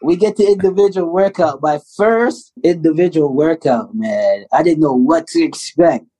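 A man's voice: on the high side, normal speed, dark tone. A Canadian man in his thirties, a pro basketball player as an interview guest, telling a story casually with fillers and frequent laughs.

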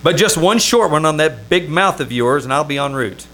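A man's voice: on the high side, moderate pace. A man playing a wry, lovesick and easily flustered Hollywood press agent in an old-time radio comedy performed on a theater stage. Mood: dry, resigned. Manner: wry, excitable, stammering when flustered. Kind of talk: old-time radio comedy acting with first-person narration. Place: small-town theater stage. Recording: noisy live theater stage recording.

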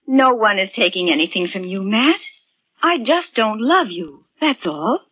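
Gentle, anxious sweetheart, vintage radio. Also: female voice, medium pitch, very animated, a little slow, husky, dry recording, lo-fi sound. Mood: uneasy. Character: gentle, anxious sweetheart woman caught between twin brothers. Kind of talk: 1940s radio drama acting. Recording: vintage 1940s radio, band-limited.

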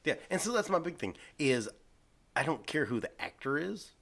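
A man with a naturally deep voice, co-hosting a podcast in casual chat with a folksy, stammering manner. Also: big pitch swings, moderate pace, creaky, a bit bright. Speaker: podcast co-host.

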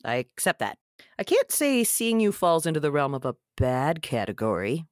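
A woman acts out a wisecracking young man in a comedy script read. The delivery swings widely in pitch and sits slightly low. The manner is wry and self-deprecating, with loyalty under the jokes. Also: very animated, moderate pace, no echo, studio quality. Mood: awkward, wry.

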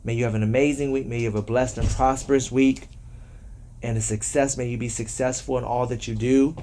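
A man with an even, medium-pitched voice hosts a devotional podcast. He speaks affectionately and encouragingly, circling back over his phrases. There is faint background noise behind him. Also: brisk. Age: adult, parent of three. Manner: affectionate, encouraging, repeats himself. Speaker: podcast host. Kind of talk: encouraging devotional talk with children.

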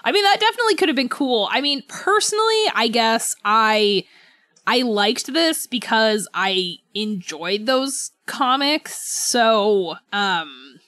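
A woman co-hosting a casual book discussion podcast, thinking aloud in a halting, conversational way. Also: on the high side, expressive, fairly bright tone, dry recording. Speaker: podcast co-host.

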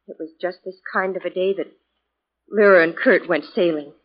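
A woman's voice: lowish voice, normal speed, natural voice. An actress playing a worried, gracious woman, hesitant and earnest, in 1940s radio style. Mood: gracious, subdued. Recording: dull lo-fi 1940s radio recording.

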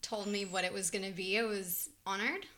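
A woman's voice: medium pitch, fairly quick. A woman who grew up between the United States and Israel, speaking openly and easily as a podcast guest at home. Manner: open, explanatory, relaxed. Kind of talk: casual interview chat. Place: living room in her home.

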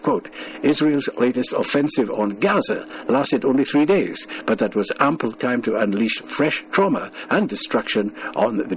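A man reads scripted radio news in a medium-pitched voice. The recording is dull and lo-fi, with slight background noise, like a shortwave broadcast.

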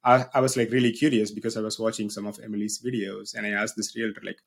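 A man's voice: lowish voice, level tone, quick pace, gravelly, breathy edge. A man in his thirties from South India with a South Indian accent, telling his story in an easygoing, lightly humorous way as a podcast guest. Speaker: guest, business owner and university researcher. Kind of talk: casual podcast interview.

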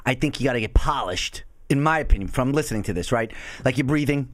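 A man's voice: mid-range voice, expressive, fast talker, dry recording. A man hosting a podcast, giving casual, frank feedback in a rambling way. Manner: rambling, frank, restarts often. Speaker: podcast host.

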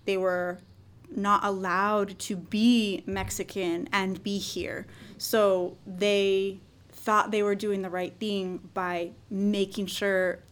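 A woman hosts a podcast and speaks in a measured, thoughtful manner. Her pitch sits in a middle range for a woman. The setting is a relaxed conversation with a guest. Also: smooth. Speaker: podcast host. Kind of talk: casual interview conversation.

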